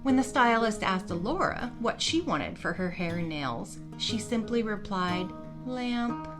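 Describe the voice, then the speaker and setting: A woman's voice: mid-range voice, expressive, measured pace. A woman reading a scripted fantasy story as its narrator, voicing dialogue along the way. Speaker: narrator of a fantasy fiction podcast. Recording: music behind the voice. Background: instrumental music.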